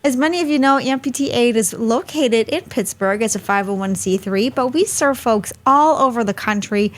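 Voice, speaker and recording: A woman's voice: fairly high pitch, normal speed. Chatty woman hosting. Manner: chatty, friendly, laughs easily. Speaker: host. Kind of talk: hosting a live webinar.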